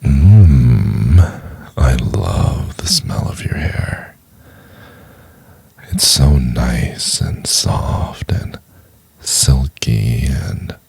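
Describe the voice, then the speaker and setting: Very deep gravelly, seductive male professor acted by a man. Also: dry recording, heavily acted.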